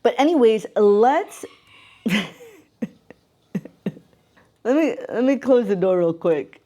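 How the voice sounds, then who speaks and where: A woman's voice: fairly high pitch, lively intonation, slow pace, warm tone. A woman in her late thirties, chatty and upbeat, talking casually to camera in a home vlog. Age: 30s. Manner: chatty, upbeat, laughs often. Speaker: vlogger. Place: home in the Philippines with roosters heard.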